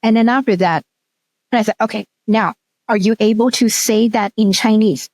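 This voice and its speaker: Woman, middle-aged, from Hong Kong, Cantonese native, mid pitch, dry close sound, thoughtful, lots of ums. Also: moderate pace, darkish tone, no echo. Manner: thoughtful, with frequent um and restarts. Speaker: researcher and guest. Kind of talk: casual interview storytelling.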